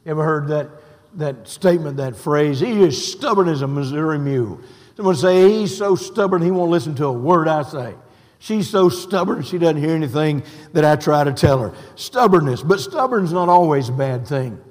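An older man, preaching a sermon in a folksy, unhurried way with a hoarse, scratchy voice. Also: a little high, lively intonation, darkish tone. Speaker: pastor. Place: church sanctuary. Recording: long reverb of a church hall.

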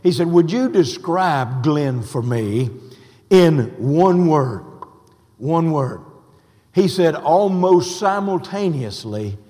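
An older man, preaching a sermon in a folksy, unhurried way with a hoarse, scratchy voice. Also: on the high side, expressive, mellow. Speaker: pastor. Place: church sanctuary. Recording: long reverb of a church hall.

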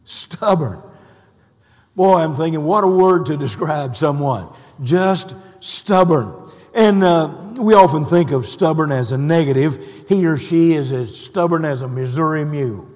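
An older man, preaching a sermon in a folksy, unhurried way with a hoarse, scratchy voice. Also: a little high, darkish tone. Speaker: pastor. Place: church sanctuary. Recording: long reverb of a church hall.

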